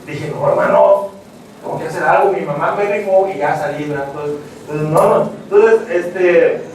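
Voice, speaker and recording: A man's voice: a little high, normal speed, a little mellow, a little hiss or hum. A man preaching an evangelistic sermon, telling stories dramatically and acting out voices. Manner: dramatic storytelling, acts out voices, addresses "hermanos". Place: church. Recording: echoey church hall, mic at a distance.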